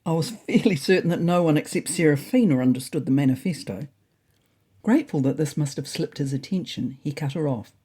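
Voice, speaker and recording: A woman's voice: low voice, animated, a little fast, mellow, slightly rough. A woman narrating a scripted first-person story in a wry, dry manner.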